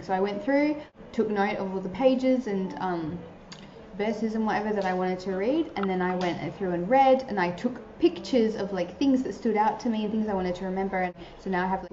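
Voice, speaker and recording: A young woman speaks in a casual, earnest advice talk, her speech dotted with fillers. Her voice is soft and mellow. The recording sounds roomy and a little dull. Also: mid-range voice, slight background noise. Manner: earnest, chatty, many filler likes. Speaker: vlogger giving advice to new converts.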